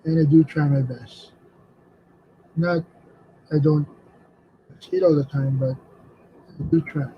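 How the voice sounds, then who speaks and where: A middle-aged man talks slowly and hesitantly, stumbling over repeated words and fillers in a slightly high voice. He speaks in a home studio room. The recording sounds a little dull and lo-fi, with faint noise behind. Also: fairly even intonation. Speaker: artist and vlogger. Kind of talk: casual reflective chat while drawing.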